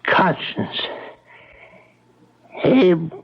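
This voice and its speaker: An actor playing a weary, bitter old small-town banker with a gravelly, halting voice in an old-time radio drama. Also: male voice, high-pitched, animated, heavily acted. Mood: resigned. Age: older. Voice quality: gravelly, husky. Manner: halting, drawn-out delivery. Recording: dull, muffled radio recording.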